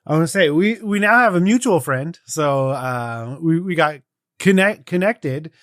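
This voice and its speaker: A man's voice: on the high side, animated, measured pace, fairly bright tone, no echo, studio quality. A man co-hosting a podcast in a casual, chatty style. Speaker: podcast co-host.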